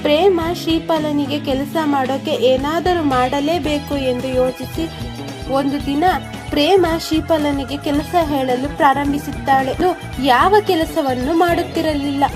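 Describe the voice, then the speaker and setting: A woman's voice: high voice, steady tone, measured pace. A woman reading a scripted moral story as a storyteller. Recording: music behind the voice. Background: music.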